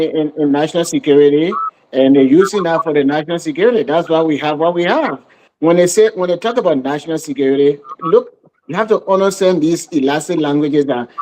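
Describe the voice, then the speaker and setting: A man talking on a radio show, speaking haltingly with many repeated words and fillers. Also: on the high side, darkish tone, no room sound, low-quality recording. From Liberia. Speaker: guest. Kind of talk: radio talk show discussion. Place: radio studio.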